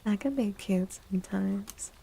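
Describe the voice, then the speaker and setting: A soft-spoken woman hosting an ASMR livestream, gentle and unhurried, with a breathy, often whispered voice. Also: medium pitch, a little hiss or hum, low-quality recording. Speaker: livestream host and tarot reader.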